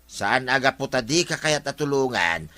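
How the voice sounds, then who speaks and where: A man's voice: medium pitch, expressive, moderate pace, sharp tone, no echo. A man with an Ilocano accent playing a gossiping, chiding villager in a comedy drama.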